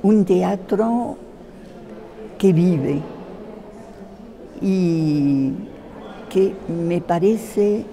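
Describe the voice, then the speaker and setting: A woman with a French accent answering an interview as a theatre translator, halting and searching for words. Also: lowish voice, warm tone. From France.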